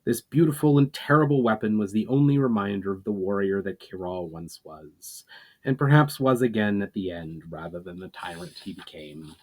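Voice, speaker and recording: A man reading a fantasy story aloud as dramatic narration, in a measured, solemn manner with emphatic phrasing. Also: on the low side, rough recording. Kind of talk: dramatic audiobook-style narration.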